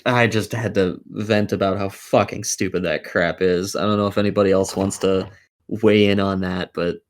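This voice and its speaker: Sweary, low-voiced man ranting on a podcast. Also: steady tone, moderate pace. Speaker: podcast host. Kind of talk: casual gaming podcast chat.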